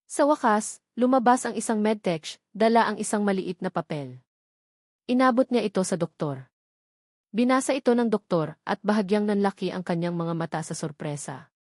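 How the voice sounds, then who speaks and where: A woman's voice: medium pitch, darkish tone, no echo. Woman narrating a dramatic story, dry sound.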